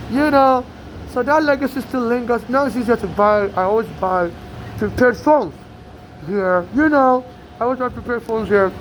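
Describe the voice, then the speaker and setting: Woman, Nigerian-tinged American accent, mid-pitched, rambling grievance monologue, outdoor street noise. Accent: Nigerian-tinged American English. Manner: rambling, aggrieved, with frequent restarts. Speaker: immigrant telling her story. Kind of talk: personal monologue to camera.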